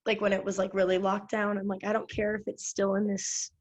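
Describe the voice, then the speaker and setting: A woman's voice: medium pitch, monotone, fairly quick. An American woman hosting a podcast, chatting casually, rambling and laughing often. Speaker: podcast host. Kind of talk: casual chat.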